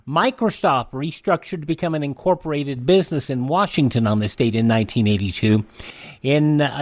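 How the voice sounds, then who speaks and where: A man hosting a radio show, reading a this-day-in-history rundown with offhand asides and quick self-corrections. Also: a little high, no room sound. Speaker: radio host.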